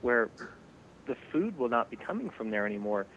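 Co-host, male, measured and fact-heavy delivery, tinny lo-fi line with faint hum. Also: medium pitch, big pitch swings, a bit bright. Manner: reels off statistics, measured. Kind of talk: conversational broadcast discussion.

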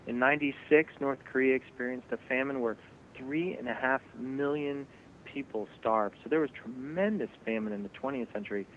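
A man's voice: mid-range voice, slight background noise. Man on a tinny phone-like line. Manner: reels off statistics, measured. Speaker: co-host. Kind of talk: conversational broadcast discussion.

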